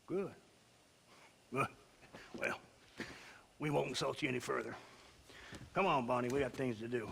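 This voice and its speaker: A man's voice: mid-range voice, measured pace, gravelly, pauses often, a bit bright, slight background noise. An actor playing a stern, opinionated older American rancher and father in a western video game, speaking in a folksy, weighty, speechifying way. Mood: brisk. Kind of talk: video game cutscene acting.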